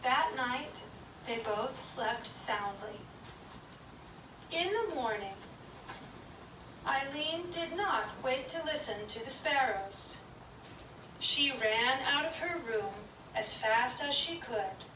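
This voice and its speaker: A woman storyteller narrating a puppet show story in a gentle, measured reading. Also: high-pitched, lively intonation. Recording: distant, roomy, noisy room.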